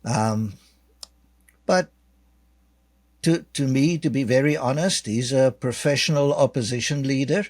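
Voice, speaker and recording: An older man from South Africa with a South African accent, a guest author answering interview questions in a measured, dryly sarcastic way with many fillers. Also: mid-range voice, no room sound.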